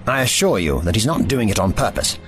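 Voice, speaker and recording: A deep-voiced, eccentric investigator, played by a man in an audio drama. He speaks politely and formally, with calm authority, and his pitch moves about a lot over background music. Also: fairly quick. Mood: calm, explanatory. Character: eccentric, courteous male time-travelling investigator, calm and analytical.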